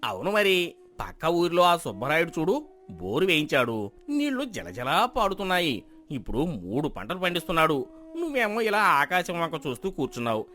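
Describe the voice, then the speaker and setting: Guy doing sneaky village loan shark in a folk tale, sharp voice, super swoopy, pushy and condescending. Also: fairly high pitch, sing-song, moderate pace. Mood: condescending, persuasive. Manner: persuasive, condescending, boastful. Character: sly, smooth-talking, scheming male village moneylender. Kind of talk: dramatized folk moral story acting.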